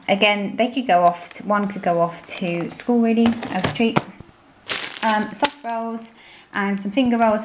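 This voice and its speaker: A woman with a British accent chatting informally through a grocery haul as a family vlogger, filming at home on a handheld camera. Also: medium pitch. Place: indoor room at home. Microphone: handheld camera microphone. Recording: roomy, noisy home camera recording.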